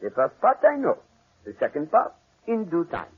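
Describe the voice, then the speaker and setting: An actor playing a clever, patient Belgian detective with a French accent and precise, formal phrasing, in 1940s radio mystery style. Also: male voice, fairly high pitch, wildly swooping pitch, normal speed, husky, exaggerated voice. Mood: explaining, knowing. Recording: muffled, band-limited 1940s radio recording.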